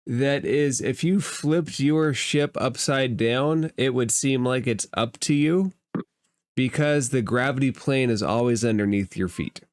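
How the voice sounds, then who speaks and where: A man with a medium-pitched voice works as game master for a tabletop session. He narrates casually and explains things conversationally. The sound is very dry, with hardly any room in it. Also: unhurried, dry recording.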